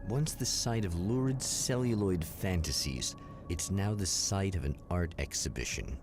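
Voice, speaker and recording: An actor playing the portentous narrator of an eerie anthology TV show, solemn and dramatic. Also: male voice, low-pitched, expressive, unhurried, noisy background. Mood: solemn, ominous.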